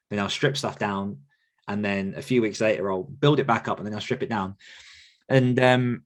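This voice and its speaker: Male podcaster and coach, lower register, reflective, earnest, conversational delivery that circles back on itself. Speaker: author coach and podcaster answering questions. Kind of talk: conversational question-and-answer talk.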